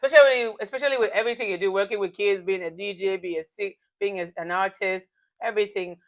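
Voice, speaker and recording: A woman's voice: mid-range voice, steady tone, quick pace. A woman hosting a casual, candid interview in an informal way, often echoing and affirming what she hears. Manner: informal, echoes and affirms the guest's words. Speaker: talk show host.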